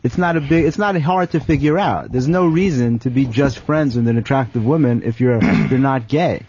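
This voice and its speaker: A man's voice: mid-range voice, normal speed, darkish tone, slight background noise. A man on a talk show panel, giving opinions in a matter-of-fact, explanatory way. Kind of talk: unscripted opinionated discussion. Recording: dull, dry lo-fi radio audio.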